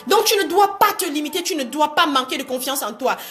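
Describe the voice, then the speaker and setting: A woman coaching online viewers in an animated, exhortative live talk, repeating phrases and asking rhetorical questions for emphasis. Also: high-pitched, a little fast, soft background music. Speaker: motivational coach hosting a livestream.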